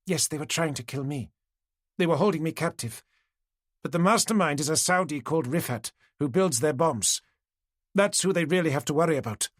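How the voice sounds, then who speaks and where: A man voicing a determined young woman who escaped captivity, speaking urgently and forcefully, often at a shout. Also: fairly high pitch, fairly quick, no echo, studio quality, close to an everyday voice. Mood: insistent.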